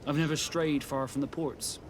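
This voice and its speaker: A man playing a proud, seasoned sea captain in scripted video game dialogue, speaking in a measured, formal and deliberate way. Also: a little high, level tone, heavy background noise, lightly acted.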